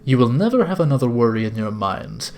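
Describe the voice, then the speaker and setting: A man's voice: mid-range voice, big pitch swings, brisk, gravelly, darkish tone. Man putting on a sinister, sing-song elderly cult lord. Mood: preaching. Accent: put-on foreign accent. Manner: formal, unctuous, preaching. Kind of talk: video game villain monologue.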